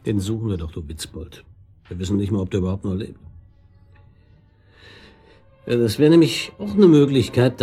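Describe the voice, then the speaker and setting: Low, husky police detective chief. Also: male voice, mellow. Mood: probing, dry. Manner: colloquial, dry, thinking aloud. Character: shrewd, musing male police detective chief. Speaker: actor in a radio drama. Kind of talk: naturalistic German radio crime drama acting.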